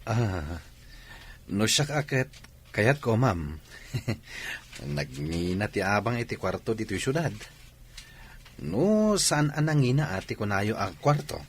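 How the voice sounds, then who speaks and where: A man playing an eager, nosy young man with an Ilocano accent in a radio drama, animated and persuasive. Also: on the low side, slow pace, pauses often, husky, heavily acted.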